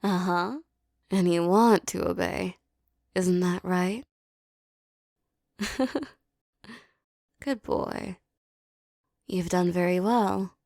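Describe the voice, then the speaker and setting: A woman speaks slowly and softly in a commanding, teasing tone, her voice carrying a gravelly fry. The recording is close and dry, with no room sound at all. Her words come unhurried and deliberate. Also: fairly low pitch, fairly even intonation, no echo. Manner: slow, soft, commanding and teasing. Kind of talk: scripted hypnosis roleplay audio.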